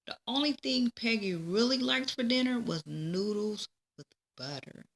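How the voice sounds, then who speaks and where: A woman reading a children's storybook aloud, with expressive, deliberate phrasing. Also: fairly high pitch. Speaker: storybook reader. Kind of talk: children's read-aloud narration.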